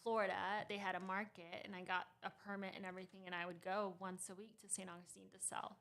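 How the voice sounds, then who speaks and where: A woman's voice: medium pitch, level tone, fast talker, fairly bright tone. A woman in her thirties from Costa Rica, a startup founder talking as a guest in a studio interview, laughing often and using many fillers and restarts. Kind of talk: casual interview conversation.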